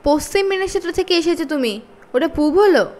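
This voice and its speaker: A spirited young storybook schoolgirl, voiced by a woman narrator. She speaks in a high, lively voice. Her manner is direct and kind-hearted. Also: brisk. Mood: challenging.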